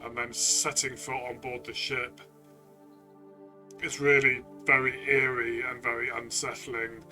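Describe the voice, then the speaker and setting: A man's voice: mid-range voice, little pitch movement, measured pace, music in the background. A man co-hosting a review discussion in a casual, conversational style. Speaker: co-host and game reviewer.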